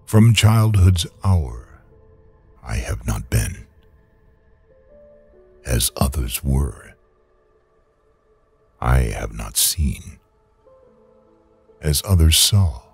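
A man with a gravelly, raspy voice reciting solemn poetry dramatically, brooding, in halting phrases with long pauses. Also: extremely low pitch, very animated, faint music behind. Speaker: poetry reader.

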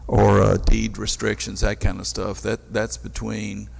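An older man questioning staff as a council member in a formal meeting, halting and deliberate, at a desk microphone in a chamber. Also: lowish voice, monotone, gravelly, darkish tone. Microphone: gooseneck desk microphone. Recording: reverberant meeting hall.

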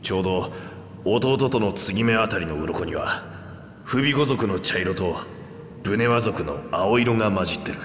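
An actor playing a proud, anguished reptilian warrior with rough, boastful speech in a video game. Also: male voice, on the low side, normal speed, a little hiss or hum, close to an everyday voice. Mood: subdued, resolute. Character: proud two-headed reptilian warrior man, anguished and remorseful. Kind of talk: dramatic video game voice acting. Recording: echoey long reverb under music.

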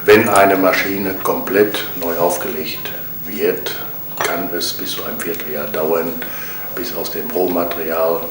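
A man in his fifties with a German accent, a company director explaining things in an interview. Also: low voice. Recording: roomy, distant, clearly noisy with clinking.

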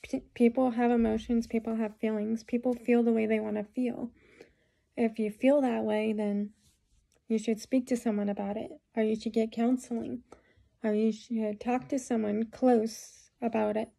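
A young woman talking to her phone camera in a small room, giving rambling advice with many fillers, a bit hoarse from a cold. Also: fairly high pitch, flat delivery, normal speed, dark tone. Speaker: vlogger. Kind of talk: casual talk to camera. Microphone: phone camera at close range.

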